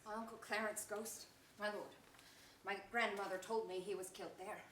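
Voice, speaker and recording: A woman's voice: medium pitch, steady tone, normal speed, fairly bright tone, close to an everyday voice. A woman playing a small, cheeky boy prince in a theatrical Shakespearean stage style. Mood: fearful. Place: theater stage before an audience. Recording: echoey distant stage, faint noise.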